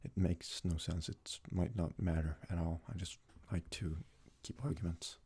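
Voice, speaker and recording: A young man from Sweden, a native Swedish speaker, talks casually in a soft-spoken, hesitant ASMR style as he answers questions. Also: low voice, fairly even intonation, moderate pace, slightly rough. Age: young adult. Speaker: ASMR creator.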